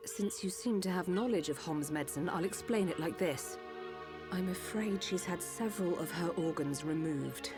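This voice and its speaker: Female Machina doctor, voiced by a woman, calm, precise, slightly low, game music behind. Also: moderate pace, natural voice. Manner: calm, clinical explanations.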